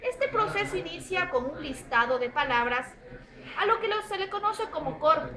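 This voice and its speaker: A woman presents a class lesson in a high, sing-song voice that rises and falls a great deal. She reads in lists, leaning on each item, with crowd chatter clearly heard behind her. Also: poor audio. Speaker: student presenter.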